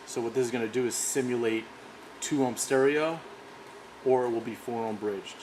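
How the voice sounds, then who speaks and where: A man's voice: mid-range voice, steady tone, normal speed, a little mellow. Man demonstrating gear, noisy recording. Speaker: product demonstrator for an audio brand. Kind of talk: informal technical demonstration.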